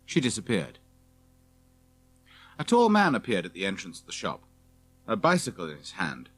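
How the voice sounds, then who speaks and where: A man's voice: deep voice, huge pitch swings, moderate pace. A man reading scripted narration for a children's audiobook.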